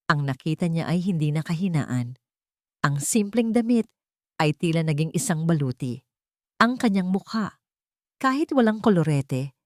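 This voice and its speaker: A Filipino woman reading a dramatic romance story as a storyteller, in a solemn, measured, emphatic way. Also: mid-range voice, a little mellow, no room sound, clean studio sound.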